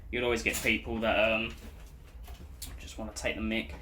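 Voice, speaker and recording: A young man with a London accent chatting casually as a bus driver, his voice hoarse and croaky, rambling with lots of fillers. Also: fairly low pitch, monotone, normal speed, sharp tone, roomy sound. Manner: rambling, filler-heavy, loses his train of thought. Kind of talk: casual vlog chat. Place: inside a bus cab.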